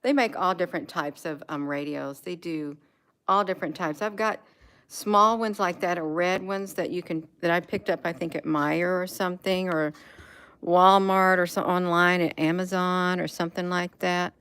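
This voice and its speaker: American woman, low register, casual home vlogger, unscripted and repetitive. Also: steady tone, normal speed, a bit bright, clear, resonant tone, poor audio. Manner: repeats words and phrases for emphasis. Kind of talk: casual rambling chat, showing gadgets. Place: indoors at home.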